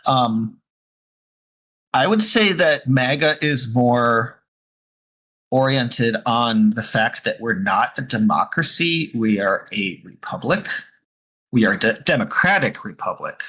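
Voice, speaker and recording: A man's voice: mid-range voice, lively intonation, slow, pushed and bright, husky. A man co-hosting a casual political podcast, talking in a dry, self-deprecating joking way. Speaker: podcast co-host.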